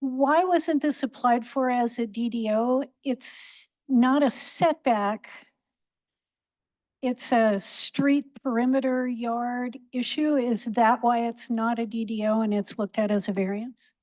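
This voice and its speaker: A woman's voice: fairly high pitch, fairly even intonation, slow, darkish tone, rough recording. A woman on a city board asking pointed, detailed questions at a public hearing. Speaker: board member. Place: council chamber.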